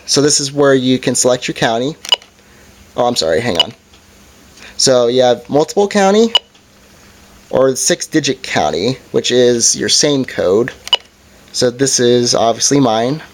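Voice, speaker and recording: A man with a medium-pitched voice presents a hands-on product review. He talks informally and hesitantly, with an apologetic manner, as he demonstrates features step by step. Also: expressive, unhurried, long pauses, slight background noise.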